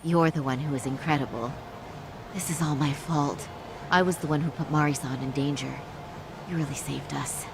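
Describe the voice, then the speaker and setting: An actress playing a poised, earnest young attorney in an English-dubbed crime game, speaking in an articulate, formal and firm way. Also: female voice, low voice, normal speed, gravelly, noisy background. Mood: grateful, earnest.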